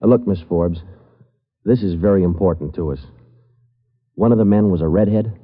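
Police detective, male, very low and understated, clipped lines, muffled 1940s radio recording. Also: normal speed. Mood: patient, probing. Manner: clipped, understated, matter-of-fact. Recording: band-limited vintage 1940s radio recording.

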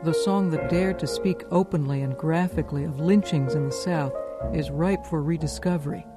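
A woman's voice: low voice, normal speed. A woman presenting a scripted radio documentary as host and narrator. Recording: music playing underneath. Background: music.

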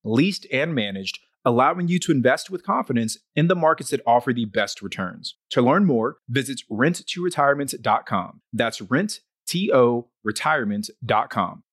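A man's voice: mid-range voice, animated, a bit bright, no echo. Man reading a sponsor ad, dry. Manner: slows to spell out web addresses. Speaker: ad reader. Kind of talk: read sponsor ad.

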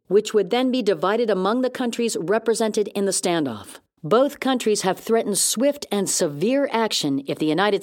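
A woman's voice: mid-range voice, a little mellow, lightly acted. A woman playing a composed, serious news anchor reading a scripted report in an audio drama.

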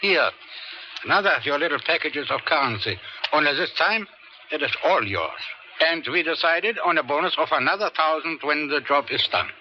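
An actor playing a condescending government operative in a 1970s radio drama, urbane, dry and patronising. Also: male voice, fairly high pitch, expressive, normal speed, lightly acted. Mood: brisk, dismissive. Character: smooth, evasive, condescending male government operative. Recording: muffled 1970s radio recording, faint hiss.

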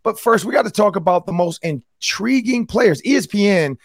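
A man hosting a sports podcast in a chatty, upbeat way, his voice a little hoarse and raspy. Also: sits high, expressive, normal speed, dry recording, clean studio sound. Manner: upbeat, chatty, frequent restarts. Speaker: podcast host. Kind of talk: casual sports talk.

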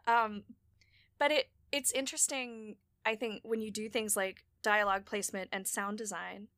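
A woman's voice: medium pitch, fairly even intonation, fairly bright tone, no echo, studio quality. A young hard-of-hearing woman hosting a podcast, chatting casually and joking about herself over a home microphone. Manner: chatty, self-mocking, quick laughs. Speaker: podcast host and producer. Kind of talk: casual chat. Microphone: home mic over voice chat.

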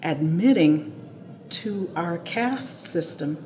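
A woman librettist speaking seriously and deliberately in an interview, with emphatic phrasing, over noisy ambience. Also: on the low side, expressive, mellow.

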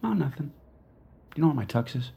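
A man's voice: on the high side, lively intonation, a little fast, gravelly, slightly rough, highs cut off, exaggerated voice. A man playing a controlling, possessive wealthy boyfriend in an audio drama, commanding and probing, with a teasing edge. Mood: casual, commanding. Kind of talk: naturalistic audio drama acting.

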